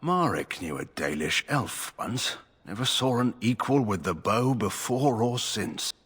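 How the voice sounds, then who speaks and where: A man playing a stern, weary middle-aged nobleman general in a fantasy game, speaking in a formal, measured, curt way. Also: fairly low pitch, big pitch swings, a bit bright, husky, heavily acted. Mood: measured, proud. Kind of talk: fantasy video game dialogue.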